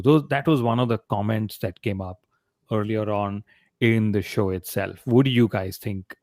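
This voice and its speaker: A man's voice: on the low side, darkish tone, no echo, clean studio sound. A man with an Indian accent hosting a casual motorsport podcast discussion, steering it with questions. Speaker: podcast host.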